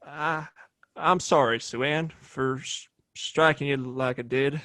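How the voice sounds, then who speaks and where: A man's voice: mid-range voice, steady tone, slow pace, pushed and bright. An American man acting a cocky, hot-tempered gunslinging bully in a western audio play, with a blustering, folksy way of talking. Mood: cowed. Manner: blustering, folksy drawling insults.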